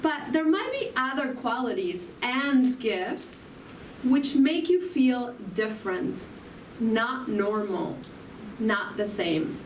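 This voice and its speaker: Argentine-accented woman preacher, fairly high voice, unhurried, reflective questions to the room; roomy distant recording. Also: slight background noise. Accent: Argentine Spanish. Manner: slow, reflective, asks the audience questions. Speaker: spiritual talk speaker. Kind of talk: inspirational sermon. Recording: roomy, from far off, faint ambience.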